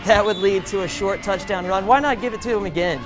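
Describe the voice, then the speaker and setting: American dude doing football highlight commentary, highish upbeat voice, music clearly playing behind. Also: male voice, brisk, rough recording. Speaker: sports reporter. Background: music bed.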